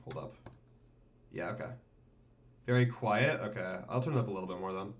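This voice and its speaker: A man's voice: fairly low pitch, fairly quick. A man live-streaming his commentary on tournament match footage, rambling and thinking aloud, often tagging his remarks with "right?". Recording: dull lo-fi stream audio.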